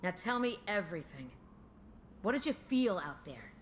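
Low-voiced, seasoned woman explorer. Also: big pitch swings, a little hiss or hum. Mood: soothing, relieved. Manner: measured, musing, assured. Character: seasoned, wry older woman field researcher, mentor. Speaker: game voice actor. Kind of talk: dramatic video game cutscene acting.

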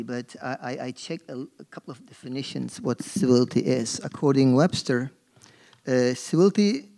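A man's voice: fairly high pitch, creaky, darkish tone. A middle-aged man from Turkey with a Turkish accent, speaking on a forum panel as a marketing professor, hesitant with many fillers and restarts, into a podium microphone on a stage. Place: stage in a dark auditorium. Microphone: gooseneck podium mic.

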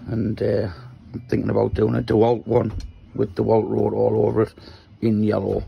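A man from Newcastle with a Geordie accent and a croaky voice, casually talking through his workshop project while filming on a handheld phone in a small shed. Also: fairly low pitch, completely flat delivery, normal speed, lo-fi sound. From Newcastle, England. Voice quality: croaky, gravelly voice. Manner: rambling, explains while handling parts. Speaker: maker vlogger.

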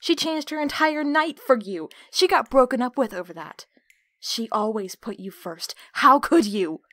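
A woman playing a betrayed, defiant captive in an audio drama, speaking with hurt, accusing, sharp-tongued emotion. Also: a little high, expressive, a little slow, no room sound. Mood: angry, accusing. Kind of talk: audio roleplay acting.

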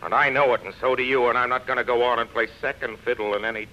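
An actor in a 1930s radio drama playing a vain, imperious star, pompous, haughty and touchy. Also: male voice, mid-range voice, fairly even intonation, quick pace, bright, forceful tone, lightly acted. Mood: indignant, arrogant. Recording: muffled noisy 1930s radio broadcast.

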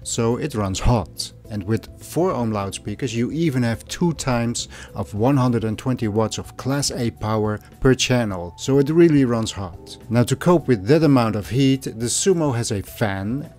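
A man's voice: medium pitch, a little mellow. Man narrating a channel voice-over. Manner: clear, orderly, addressing viewers directly. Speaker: channel host.

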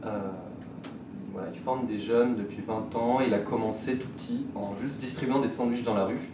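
A man in his thirties, a mentor to social entrepreneurs, speaking in an interview with fillers and restarts, often trailing off softly. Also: medium pitch, steady tone, normal speed. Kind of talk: conversational interview. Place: small bright indoor room. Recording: echoey room, distant mic, noise.

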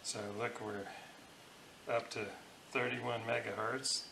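A man giving an informal hands-on technical demonstration as an electronics hobbyist, halting and thinking aloud, recorded in a room at home on a camera's built-in microphone. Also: mid-range voice, flat delivery, vocal fry. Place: room in a house. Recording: roomy echo, faint background noise.

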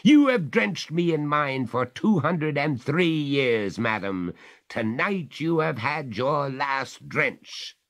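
A stern master of the house answers with measured, icy courtesy, as a man reading the story plays him. He speaks slowly and with a lively rise and fall, firm and ironic. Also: a little high, pitched above the performer's own voice, full character voice.

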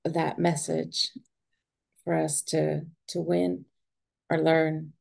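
A woman with a low, gravelly voice that stays flat in pitch chairs a club meeting and thanks a speaker graciously. She is heard over a video call. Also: very slow.

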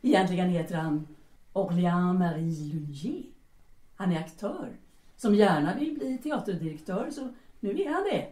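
A woman's voice: on the low side, lively intonation, warm tone, natural voice. An actress in an audio drama playing a bold, seductive Parisian bohemian woman who is teasing, commanding and formal in address. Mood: brisk, probing. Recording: roomy, echoing sound.

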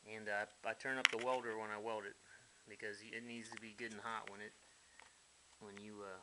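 Guy in his garage, lowish bright voice, blunt and folksy, some cussing, faint hiss. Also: flat delivery, moderate pace. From United States. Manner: blunt, folksy, mildly profane. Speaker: hobbyist builder. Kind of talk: casual workshop walkthrough. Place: dim garage workshop.

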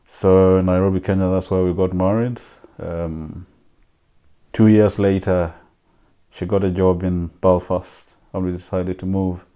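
A man in his thirties with a Ugandan accent, speaking softly and reflectively in an interview from his living room. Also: deep voice, flat delivery, a little slow, clear, resonant tone. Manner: soft-spoken, reflective, with fillers. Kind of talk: personal interview answers.

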